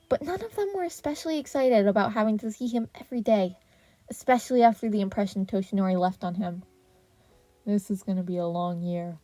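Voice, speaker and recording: A woman reading fan fiction aloud as an expressive podfic narrator. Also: medium pitch, no room sound.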